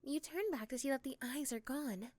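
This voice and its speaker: High-voiced woman narrating an ASMR story. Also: moderate pace, darkish tone, no room sound. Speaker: narrator and voice performer. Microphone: close microphone.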